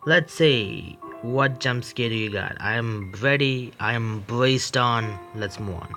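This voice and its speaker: A man hosting a game playthrough, commenting casually and reading aloud in a halting way with stumbles. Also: mid-range voice, over music. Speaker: gaming video host.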